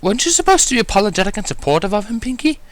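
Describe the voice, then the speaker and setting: A man's voice: high voice, very animated, racing, a bit bright, voice pushed up. A man voicing a refined, fussy, dramatic female unicorn seamstress in a prim, theatrical manner for a story reading. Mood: prim lecturing.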